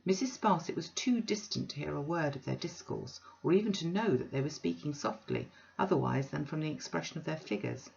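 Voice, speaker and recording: Low gravelly woman reading a classic. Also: animated, fairly quick, hardly any pauses, a little mellow, lo-fi sound. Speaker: audiobook reader. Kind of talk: reading a classic novel aloud.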